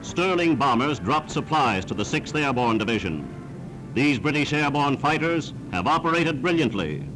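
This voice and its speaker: A man's voice: medium pitch, very animated, moderate pace, rough recording. A man narrating a scripted 1940s wartime newsreel in a formal, emphatic, declamatory manner, on a vintage soundtrack. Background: orchestral newsreel music.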